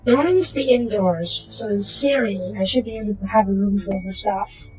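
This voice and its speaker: A woman with a slightly hoarse voice, casually talking through a strategy game and muttering to herself as she plays. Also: medium pitch, fairly quick, faint music behind, rough recording. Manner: muttering to herself while playing, trailing off into quiet asides. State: hoarse from a sore throat. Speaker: gaming channel host. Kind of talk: casual gameplay commentary.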